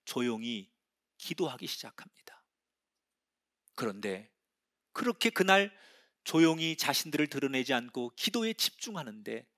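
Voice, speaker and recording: Male pastor, middle-aged, high voice, very lively intonation, hushed whispers to loud bursts. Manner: dramatic storytelling, swinging from hushed whispers to loud exclamations. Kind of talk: sermon.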